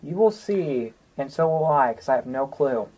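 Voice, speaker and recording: A woman's voice: very deep voice, animated, normal speed, darkish tone, a little hiss or hum, low-quality recording. A woman chatting casually in character as a player in a tabletop game.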